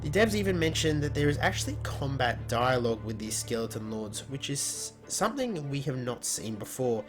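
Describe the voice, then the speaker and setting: A man hosting a gaming news video, narrating in an informal scripted style. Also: medium pitch.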